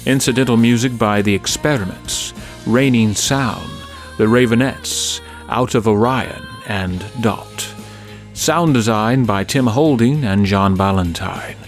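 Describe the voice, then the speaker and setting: A man reading the credits of a radio drama in a measured, formal way. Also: lowish voice, soft background music. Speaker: credits announcer. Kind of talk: scripted narration.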